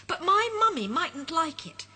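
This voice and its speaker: A woman's voice: very high voice, very animated, measured pace, sharp tone, pitched above the performer's own voice, exaggerated voice. A woman voicing a polite, eager young bear boy in an earnest, childlike way.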